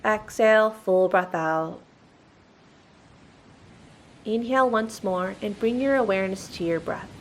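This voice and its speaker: A woman's voice: medium pitch, unhurried, pauses often, a little hiss or hum. A woman leading a guided meditation in a soothing, instructional manner.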